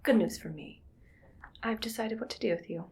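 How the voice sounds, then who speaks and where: A woman playing a cold, calculating mad scientist in an ASMR roleplay, whispery and creaky, speaking in a clinical, deliberate, quietly menacing way. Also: a little high, full character voice. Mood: coolly pleased.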